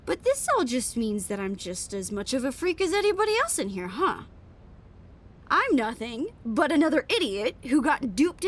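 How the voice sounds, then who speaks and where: An actress playing a sardonic, defiant young staffer who rants bitterly in an audio drama. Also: female voice, high voice. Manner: bitter, emphatic ranting. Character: fed-up, sardonic young female staffer, foul-mouthed and defiant.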